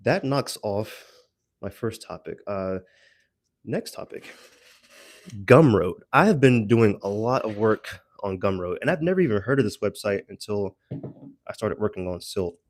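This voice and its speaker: A man giving a casual, rambling audio log as a solo creator, haltingly searching for words with self-deprecating asides. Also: on the low side, animated, dry recording, studio quality.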